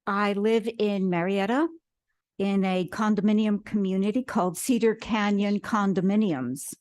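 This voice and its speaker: Woman, homeowner testifying, measured, formal, detailed, hesitant fillers, dry podium mic. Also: medium pitch, steady tone, darkish tone, no echo. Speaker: homeowner testifying before a committee. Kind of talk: public testimony. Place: legislative hearing room.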